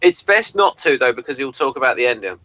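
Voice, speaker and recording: Bright-voiced man in casual review chat. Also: medium pitch, lively intonation, quick pace, dry recording. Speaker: co-host of a review show.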